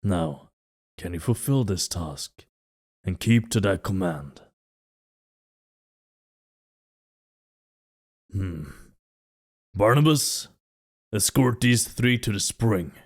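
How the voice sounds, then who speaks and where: Fantasy audio drama king, played by a man: very low register, regal, solemn, formal and measured orders. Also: lively intonation, full character voice. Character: commanding, solemn fantasy king of a mountain people.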